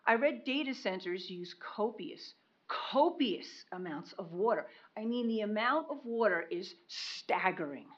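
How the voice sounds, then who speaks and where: A woman giving a public comment at a county meeting, speaking loudly and pressing her questions. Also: mid-range voice, animated, a little slow, highs cut off. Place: county commission meeting room.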